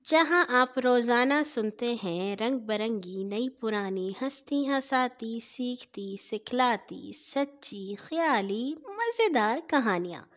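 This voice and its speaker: A woman storyteller narrating scripted stories for children in a deliberate, phrase-by-phrase way. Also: on the high side, lively intonation, fairly bright tone.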